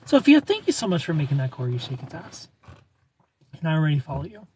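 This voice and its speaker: Higher-voiced American man chatting on a noisy livestream. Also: very animated, quick pace, a little mellow. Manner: joking, playful, informal with swearing. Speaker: live stream host. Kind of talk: casual chat, reading and replying to live comments.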